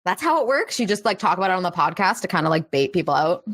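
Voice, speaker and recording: A woman's voice: fairly low pitch, very animated, fast, a bit bright. A woman co-hosting a podcast, chatting casually with sarcastic teasing and swearing. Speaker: podcast co-host.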